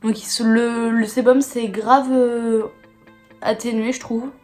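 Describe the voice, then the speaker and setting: A young woman with a hoarse voice, chatting casually to camera in a beauty vlog, full of slang and swearing. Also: a little high, little pitch movement, slow, a little mellow, faint music behind. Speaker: beauty vlogger.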